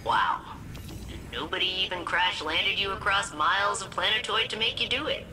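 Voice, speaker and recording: A woman's voice: mid-range voice, lively intonation, normal speed, music in the background, close to an everyday voice, poor audio. An actress voicing a casual, sarcastic female ship AI in a video game. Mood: wry. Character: casual, wry female AI persona of the same ship.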